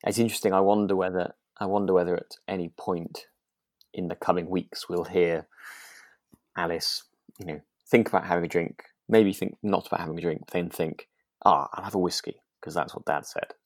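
A man's voice: sits low, steady tone, normal speed, no echo, clean studio sound. A man co-hosting a podcast, casually discussing a drama and qualifying his points as he goes. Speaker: podcast co-host. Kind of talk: relaxed conversational discussion of a radio drama.

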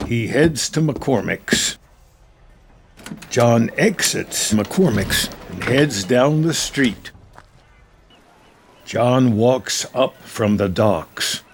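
A man's voice: medium pitch, animated, extremely slow, pauses often, slight background noise. A man with an American accent reading scripted screenplay narration in the present tense.

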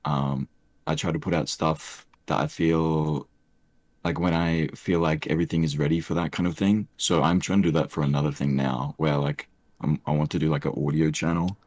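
A young man with a soft, soothing voice, chatting hesitantly as a podcast guest with many fillers. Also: rumbling low, steady tone, normal speed, no echo. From Australia. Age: young adult. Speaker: streamer guest. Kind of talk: casual podcast chat.

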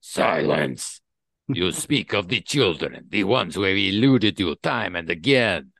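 A man acts out a contemptuous psychic villain with a Russian accent. He is theatrical and grandiose, drawing out his threats with big swings in pitch, recorded very dry. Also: expressive, vocal fry, fairly bright tone, no room sound, full character voice. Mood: scornful. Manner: theatrical, slow drawn-out threats.

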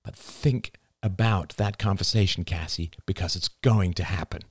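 A man's voice: sits low, moderate pace, husky, dry recording, studio quality. A man playing an intense, insistent husband and father in dramatic audiobook dialogue. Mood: grave, pressing.

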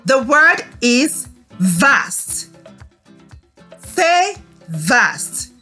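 A woman teacher leading a scripted read-aloud for young children, speaking deliberately and expressively and prompting them to repeat. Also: sits high, sing-song, pushed and bright, faint music behind.

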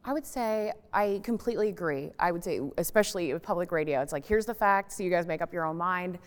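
Very low-pitched woman in an echoing hall. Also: fast, clean tone. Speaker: public radio journalist, panelist. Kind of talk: conversational panel discussion. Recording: echoey hall-like reverb.

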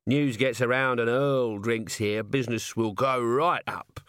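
A man voicing a drunk, swaying male watchman who boasts of his noble ancestry, in slurred, drawn-out speech. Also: mid-range voice, fairly even intonation, fairly bright tone, smooth, dry recording, studio quality, lightly acted. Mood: drunk boasting. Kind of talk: audiobook character voice.

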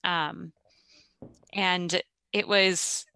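A woman from Minnesota, a classical singer, speaking thoughtfully in a relaxed interview with some ums. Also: fairly low pitch, drawn-out words, smooth. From Minnesota, USA. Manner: thoughtful, articulate, with fillers. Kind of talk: casual conversation.

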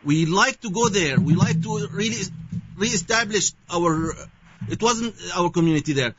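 High-voiced Syrian man, halting speech. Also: expressive, a bit bright, slight background noise. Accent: Syrian Arabic. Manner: halting, searching for words with many fillers. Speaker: guest. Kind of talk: interview answers. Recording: dull lo-fi sound.

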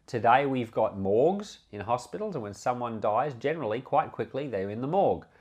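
Man preaching with lively, swooping intonation. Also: fairly low pitch. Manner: conversational and explanatory, with repeated phrases and rhetorical questions. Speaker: pastor giving Bible commentary on a podcast. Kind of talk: informal expository sermon, explaining scripture.

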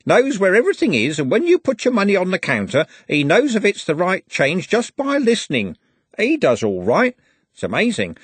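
A man voicing a talkative elderly gentleman telling an anecdote in a raised, eager voice. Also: high-pitched, wildly swooping pitch, moderate pace, slightly rough, no room sound, pitched above the performer's own voice, heavily acted. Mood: enthusiastic. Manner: enthusiastic storytelling.

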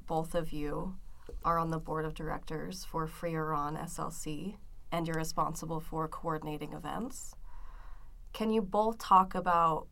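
A woman interviewer asking clear, direct questions. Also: deep voice, steady tone, normal speed, fairly bright tone.

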